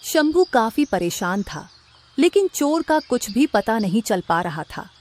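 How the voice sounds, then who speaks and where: A woman's voice: fairly high pitch, very animated, measured pace, a little mellow. A woman reading scripted narration for a story as its narrator.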